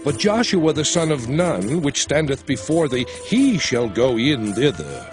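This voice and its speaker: Moses, a solemn old prophet, recounts in a very slow, lively-pitched voice. Music plays clearly beneath his narration in this scripture drama. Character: Moses, aged solemn male prophet and leader. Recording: studio voice over music.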